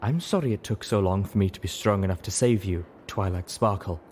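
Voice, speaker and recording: A man voicing a zebra companion who translates a silent speaker's words in a measured way. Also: low voice, a little mellow, slight background noise, natural voice. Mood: solemn. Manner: measured, translating speech line by line. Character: zebra companion reading lips aloud.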